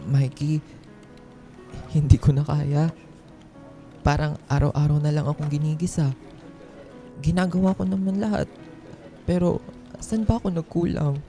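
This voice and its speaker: A man's voice: a little high, steady tone, measured pace, long pauses, warm tone, faint music behind. A man playing a downtrodden, self-doubting office worker, plaintive and hurt, in a radio drama. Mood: hurt, despairing. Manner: plaintive, hurt, deferential to bosses.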